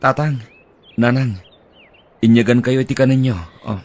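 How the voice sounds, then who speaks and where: A man's voice: medium pitch, fairly even intonation, normal speed, darkish tone, lightly acted. A man voicing an earnest husband and son in an audio drama, talking in an urging, reassuring manner. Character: dutiful middle-aged husband and son, earnest.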